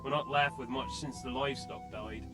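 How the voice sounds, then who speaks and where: An actor playing a grateful older villager who thanks a young man in pious, warm words in a video game. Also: medium pitch, fairly even intonation, moderate pace, loud music behind the voice, close to an everyday voice. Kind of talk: video game cutscene acting.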